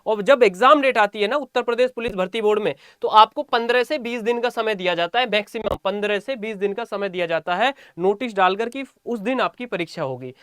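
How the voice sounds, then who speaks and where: A man's voice: high-pitched, fairly bright tone, clean tone, dry recording. Male coaching teacher, dry close sound. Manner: repeats phrases for emphasis, checks understanding. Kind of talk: informal exam-update lesson addressed to students.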